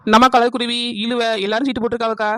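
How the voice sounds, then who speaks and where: A high, quick cartoon voice from a woman for a bossy village wife with a southern Tamil Nadu accent. She pries, teases and needles sarcastically, in a dry recording. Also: flat delivery, no echo, natural voice. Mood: gossipy, persuasive. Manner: brisk, confrontational, teasing. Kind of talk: cartoon village comedy dialogue.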